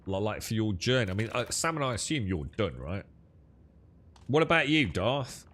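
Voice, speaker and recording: Sweary gamer with lively pitch. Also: male voice, moderate pace. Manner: blunt, sweary, dismissive, rambling. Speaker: gaming streamer. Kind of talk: casual gaming stream chat.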